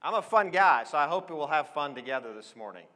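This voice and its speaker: A man's voice: fairly high pitch, expressive, fast talker, sharp tone. An American man in his forties giving an emphatic, enthusiastic motivational business presentation on stage to an audience, in a large hall. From Switzerland, then Oregon. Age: 40s. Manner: emphatic, enthusiastic, addresses listeners with questions. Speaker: conference speaker, advertising professional. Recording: long echo of a large hall.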